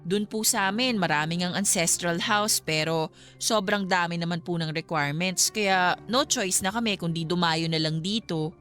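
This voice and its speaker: A woman's voice: lowish voice, moderate pace, a bit bright, faint music behind, close to an everyday voice. A woman playing a polite, businesslike young vlogger who explains things courteously in a Filipino radio drama. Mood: polite, explaining.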